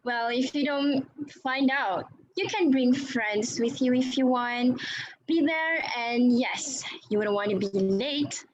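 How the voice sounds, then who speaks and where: A man's voice: very high-pitched, takes it slowly, voice pushed up. A man voicing a commanding teenage girl prefect, condescending and coolly menacing. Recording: muffled, noisy lo-fi recording.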